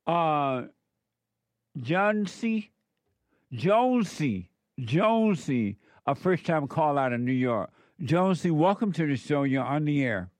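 Host: man with a fairly high voice, blunt and brisk, dry close-up studio mic. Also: sing-song, dry recording. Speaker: talk show host. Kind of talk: call-in talk radio.

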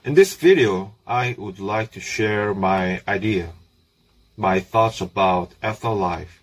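Slow, husky, earnest man. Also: on the low side. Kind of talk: prepared talk in a shared video clip.

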